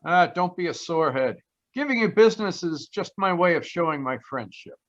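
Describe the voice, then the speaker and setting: An actor playing a flippant, wry ex-cop private detective in a 1940s-style radio play. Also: male voice, fairly high pitch, animated, fairly quick, lightly acted. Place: remote live performance over video call. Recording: dull, lo-fi video call audio.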